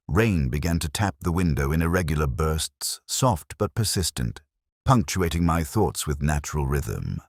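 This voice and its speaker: A man with an extremely deep voice narrates detective fiction in the first person. His delivery is deliberate and literary, with lively rises and falls in pitch. The sound is dry, free of room echo. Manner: measured, literary, deliberate phrasing. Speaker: audiobook-style narrator.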